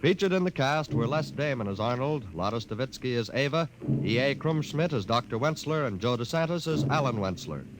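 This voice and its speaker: A man announcing the closing credits of a 1950s radio drama in a crisp, formal way. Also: medium pitch, animated, brisk. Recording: noisy vintage radio recording.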